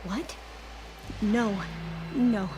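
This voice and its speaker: A woman's voice: mid-range voice, very slow, noisy background. An actress playing a nervous, sincere young female scholar in a video game, speaking in a hesitant, earnest way. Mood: flustered. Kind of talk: video game dramatic acting.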